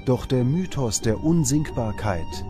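A man reading scripted documentary narration in a measured, dramatic manner. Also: mid-range voice, animated. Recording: music under the voice. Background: background music.

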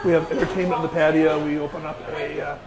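A middle-aged man, a winery owner, answering interview questions in a relaxed, chatty way with many small pauses, on a lapel mic in an indoor tasting room. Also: a little high, rough recording. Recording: lapel mic with busy crowd noise behind. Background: crowd chatter.

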